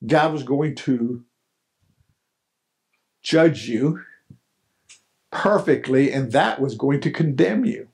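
A man's voice: medium pitch, lively intonation, slow, creaky, pauses often. An older man preaching a sermon in a small chapel, repeating key phrases and rising to emphatic questions. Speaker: pastor. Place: small chapel hall, indoors.

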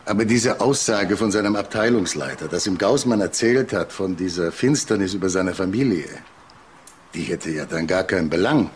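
Eager lowish-voiced investigator, noisy background. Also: male voice, lively intonation, moderate pace. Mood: matter-of-fact. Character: eager, chatty male police investigator. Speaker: actor in a crime audio drama. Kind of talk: naturalistic German radio drama acting. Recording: clear background noise and ambience.

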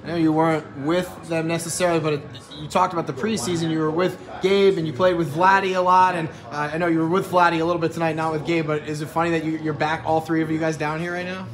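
A man's voice: fairly high pitch, little pitch movement, quick pace, few pauses, crowd noise. A male reporter asking conversational questions at a postgame press session.

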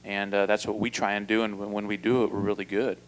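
Male coach, 40s, postgame presser, table mic, low-ish voice with little pitch movement, halting. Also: rough recording. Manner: measured, flat delivery with many fillers and restarts. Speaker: basketball head coach. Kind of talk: postgame press conference answers. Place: indoor press room. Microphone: table mic in front.

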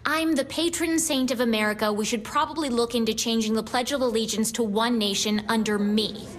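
A probing, sardonic reporter from a video game, played by a woman. Her fairly high voice is dry and deliberate, picking out each phrase. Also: close to an everyday voice. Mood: skeptical.

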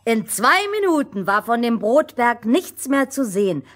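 A woman's voice: fairly high pitch, sing-song, a little slow. A woman storyteller narrating a scripted fairy tale for children.

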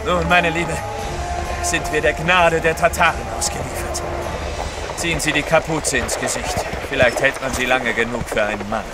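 An actor playing a bold Russian courier in disguise, decisive and commanding, in an audio drama. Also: male voice, on the high side, fairly even intonation, moderate pace, close to an everyday voice. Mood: controlled. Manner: decisive, commanding, sure of himself. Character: bold, resolute Russian courier posing as merchant guide. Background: dramatic music.

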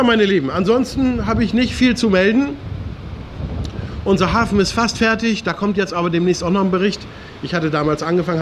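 A middle-aged man talking casually to camera as a vlog host, chatty and addressing his viewers in a familiar way, outdoors on a terrace. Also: on the high side. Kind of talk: casual chat to camera, weekly local news update. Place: open-air hotel roof terrace by the sea. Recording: faint outdoor ambient noise.

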